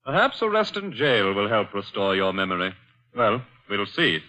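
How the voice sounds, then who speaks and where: An actor playing a shrewd, sardonic police chief in a 1940s radio drama, measured, formal and dryly accusing. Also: male voice, on the low side, big pitch swings, sharp tone. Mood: grimly triumphant. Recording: muffled old 1940s radio recording.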